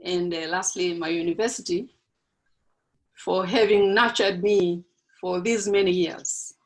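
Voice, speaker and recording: A woman's voice: on the low side, fairly even intonation, unhurried. A woman ending a formal presentation with thanks, stumbling a little over her words. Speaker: presenter finishing a talk.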